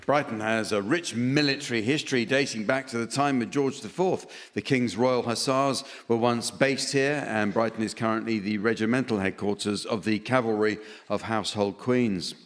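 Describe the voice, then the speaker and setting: A man's voice: mid-range voice, normal speed, hardly any pauses. A man chairing a comedy panel show, delivering scripted comic links to a live audience. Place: theatre stage with live audience. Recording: echoey theatre, audible audience, noisy.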